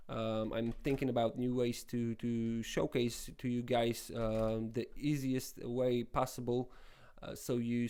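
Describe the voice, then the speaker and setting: A young Polish-accented man talks through his screen tutorial in a loose, rambling way, restarting his sentences over and over. His voice is mellow and smooth, and his pitch stays fairly flat. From Poland. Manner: rambling, many restarts and fillers. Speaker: web development tutorial host. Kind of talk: casual screen-recorded tutorial talk.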